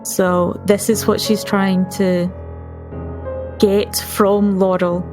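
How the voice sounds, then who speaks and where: Woman describing her rpg character, talks slowly, keyboard music playing behind her. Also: medium pitch, little pitch movement. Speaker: tabletop game player. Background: piano or keyboard music.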